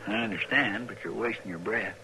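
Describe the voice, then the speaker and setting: An actor playing a loyal, stubborn frontier deputy with a rural Western American accent and folksy, ungrammatical talk, in an old-time radio western. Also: male voice, fairly low pitch, a little fast, lightly acted. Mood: earnest, plain. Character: loyal, stubborn frontier deputy, plain-spoken country man. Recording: noisy vintage radio drama recording.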